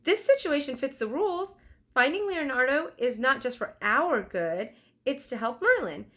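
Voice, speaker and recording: A woman's voice: high-pitched, very animated, moderate pace, bright, forceful tone, pitched above the performer's own voice, full character voice. A woman voicing an eager, impulsive young girl with a tender heart, speaking earnestly and insistently in a storybook reading. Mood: earnest, urging.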